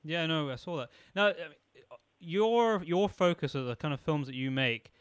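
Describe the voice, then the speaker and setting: A man's voice: fairly high pitch, lively intonation, moderate pace, smooth, dry recording, clean studio sound. A man hosting a live stream, chatting casually and eagerly questioning his guest. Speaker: podcast host. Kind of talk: casual interview chat.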